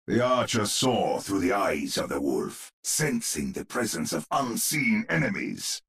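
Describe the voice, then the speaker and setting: An actor playing a grim narrator of gothic horror tales in a video game, with a gravelly, husky voice and grandiose, suspenseful delivery. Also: male voice, fairly low pitch, big pitch swings, a little slow, a bit bright, full character voice. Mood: urgent, dramatic. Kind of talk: theatrical gothic horror storytelling.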